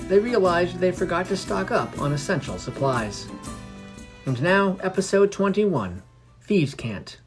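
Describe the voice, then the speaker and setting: A podcast narrator reading a scripted fantasy story. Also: mid-range voice. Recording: music under the voice. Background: background music.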